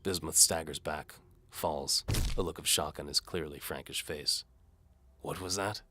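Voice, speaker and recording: A man's voice: rumbling low, fairly even intonation, moderate pace, bright, forceful tone, husky, no echo. A man narrating an audio adventure in the first person as its hero, with a wry, hard-boiled, dramatic delivery. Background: music under the voice.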